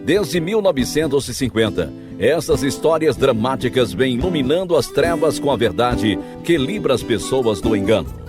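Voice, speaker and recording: A male radio announcer reads scripted, dramatic narration. His mid-pitched voice moves with very lively intonation over clearly audible guitar music. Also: normal speed, hardly any pauses. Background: music, plucked guitar.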